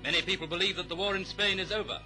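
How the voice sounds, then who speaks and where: A man's voice: high voice, fairly even intonation, rapid-fire, sharp tone. A British man reading a scripted 1930s newsreel in a formal, declamatory manner, on a vintage soundtrack. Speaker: newsreel narrator. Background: music.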